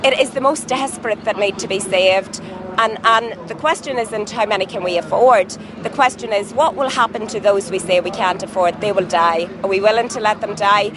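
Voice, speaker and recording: A woman's voice: medium pitch, normal speed. A woman, an activist speaking emphatically in a news interview. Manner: impassioned, emphatic. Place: outdoors at a city rally. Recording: outdoor traffic noise.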